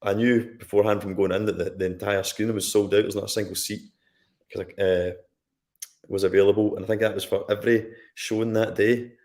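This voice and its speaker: A low-voiced Scottish man who is a guest on a film podcast. He tells his story conversationally and drifts into side remarks along the way. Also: flat delivery, a little fast, darkish tone. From Scotland. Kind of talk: casual chat on a live stream.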